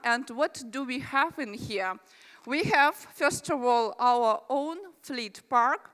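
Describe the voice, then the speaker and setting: A woman's voice: high voice, sharp tone, smooth. Russian-accented woman presenting in a hall. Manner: friendly, chatty, with asides to the audience. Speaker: conference presenter. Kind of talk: conference presentation. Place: large conference hall. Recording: reverberant hall.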